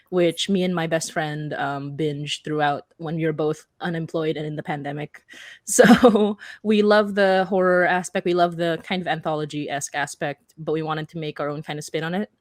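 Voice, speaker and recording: A woman's voice: low-pitched, dry recording, studio quality. A young woman speaking casually as a panelist, explaining things with little acted-out asides. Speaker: podcast creator and panelist.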